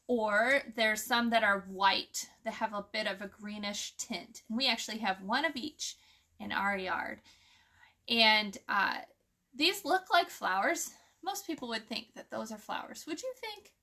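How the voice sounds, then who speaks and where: A woman's voice: on the high side, bright, forceful tone. A woman hosting a children's story time, explaining things informally and putting questions to her young listeners. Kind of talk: informal nature lesson for children, with read-aloud.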